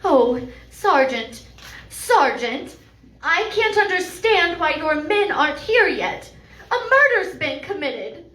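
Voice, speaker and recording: A woman playing a frightened, suspicious woman in danger, with dramatic, deliberate old-time radio acting. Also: extremely high pitch, big pitch swings, fairly bright tone, full character voice. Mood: alarmed. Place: live stage performance in a venue. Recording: echoey live room.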